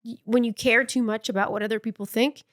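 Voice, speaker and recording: Woman co-hosting a podcast, slightly high voice. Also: fast talker. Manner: relaxed, supportive, chimes in often. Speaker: podcast co-host. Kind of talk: casual chat.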